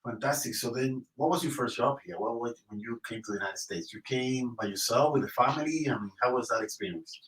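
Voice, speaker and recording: An effusive man hosts an interview, thanking and welcoming his guest and stumbling through restarts. His voice is picked up from a little distance, so it sounds roomy. The pitch sits mid-range. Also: a little fast, hardly any pauses. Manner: warm, effusive, many restarts. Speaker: interview host. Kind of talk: casual interview. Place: high-rise building in the city.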